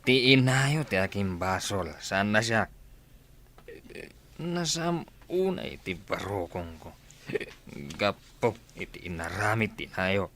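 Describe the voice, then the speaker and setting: A man's voice: fairly low pitch, sing-song, slow pace, a bit bright, a little hiss or hum, heavily acted. A man playing a bitter, drunken, irresponsible father in a radio drama, muttering.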